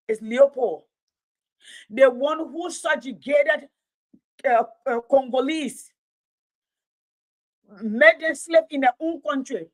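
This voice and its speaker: A woman with a Liberian accent speaks in a somewhat high-pitched voice. Her English is a second language after Mano and Gio. She talks off the cuff like a storyteller hosting an online show, bluntly and with heavy emphasis, repeating phrases to drive them home. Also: poor audio. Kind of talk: unscripted political commentary to livestream viewers.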